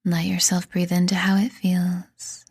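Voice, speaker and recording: A woman reading a scripted guided meditation in a soothing, deliberate manner, leaving pauses between phrases. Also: on the low side, monotone, darkish tone, slightly rough, no room sound. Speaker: meditation guide and podcast host.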